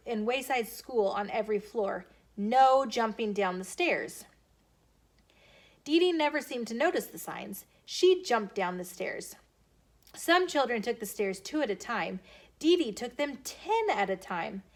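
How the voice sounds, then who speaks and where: A woman hosting storytime, reading aloud to children in an expressive, deliberate storytelling way. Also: a little high. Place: filmed in a bookstore.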